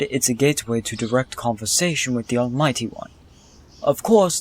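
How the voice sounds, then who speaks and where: A man playing a devout animal preacher in an audio drama, speaking in a measured, formal, solemn way. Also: medium pitch, close to an everyday voice. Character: devout male pack preacher, solemn storyteller of lore. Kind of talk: scripted sermon in an audio drama.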